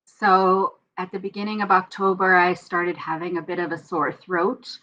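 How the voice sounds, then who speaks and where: A woman's voice: on the low side, flat delivery, moderate pace, bright, forceful tone, slight background noise. Woman in her fifties, muffled. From Saskatchewan, Canada. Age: 50s. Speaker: teacher. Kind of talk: interview recollection. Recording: slightly dull, muffled sound.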